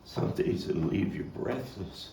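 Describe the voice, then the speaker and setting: A man performing a theatrical monologue as a street-wise, bookish Brooklyn man recalling a hard childhood, halting and reflective with dramatic pauses. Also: close to an everyday voice. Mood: pensive, grieving. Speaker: actor-playwright performing his monologue. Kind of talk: theatrical monologue at a table read. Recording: roomy, distant mic with faint noise.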